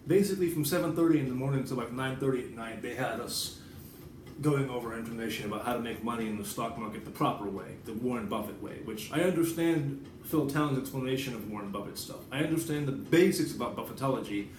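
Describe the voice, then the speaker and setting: A man's voice: mid-range voice, fast. An American man giving a casual, rambling vlog monologue, informal and digressive, with some swearing. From United States. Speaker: writer and vlogger. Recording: roomy echo, distant mic, background noise.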